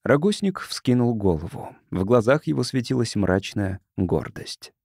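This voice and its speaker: A man narrates a science-fiction audiobook in a soft, mellow voice. His pitch is medium. The recording is dry, with the voice close to the microphone. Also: expressive, a little slow, gravelly, no room sound.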